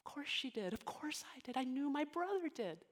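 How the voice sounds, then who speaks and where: A young woman playing Mary in a dramatic monologue, speaking emotionally and haltingly, often sinking into soft whispers. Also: high-pitched, sing-song. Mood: overwhelmed, reverent. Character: devoted young woman, biblical Mary, deeply moved.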